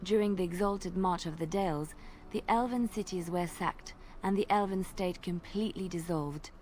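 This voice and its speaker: A woman voicing a gentle young woman bard in a video game, telling old tales in a soft, measured and earnest way over faint game ambience. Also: lowish voice, a little hiss or hum, close to an everyday voice. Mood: storytelling. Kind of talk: storytelling in video game dialogue.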